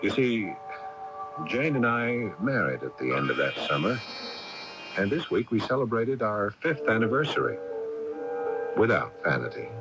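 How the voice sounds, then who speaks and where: A man's voice: on the low side, sing-song, normal speed, long pauses. An actor playing a reflective married man telling his own story in a radio mystery drama. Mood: reflective, assured. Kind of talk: radio drama first-person narration. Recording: dull radio broadcast with music.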